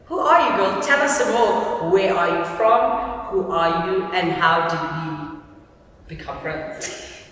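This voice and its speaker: A woman's voice: fairly low pitch, slow pace, fairly bright tone. A woman hosting a casual chat in a playful, teasing, self-deprecating way. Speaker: vlog host. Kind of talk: casual chat to camera and guest. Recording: distant echoey room, faint noise.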